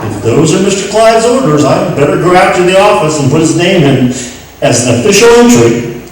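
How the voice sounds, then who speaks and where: A man's voice: sits high, big pitch swings, brisk. A man playing a folksy, obliging racehorse trainer in an old-time radio comedy, polite and a bit hesitant. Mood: puzzled, obliging. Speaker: radio play actor. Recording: very echoey hall, distant, faint noise.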